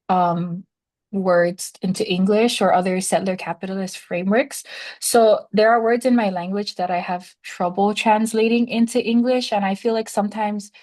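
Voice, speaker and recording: A woman's voice: mid-range voice, a little mellow. Tentative woman guest on a podcast. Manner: tentative, hesitant with many fillers. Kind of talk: conversational interview.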